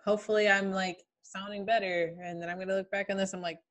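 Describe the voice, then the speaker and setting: A young woman co-hosting a podcast in casual chat, with a flat, fairly monotone way of talking. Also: mid-range voice, fast talker. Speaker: podcast co-host.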